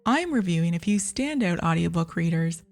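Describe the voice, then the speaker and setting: A woman's voice: fairly low pitch, expressive, normal speed, over music. A woman hosting a review podcast, speaking in a scripted narration style. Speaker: podcast host and audiobook reviewer.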